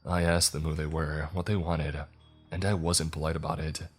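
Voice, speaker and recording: A man's voice: a bass voice, little pitch movement, moderate pace, breathy edge, soft background music. A man reading scripted first-person horror fiction as a narrator, with dramatic, suspenseful storytelling.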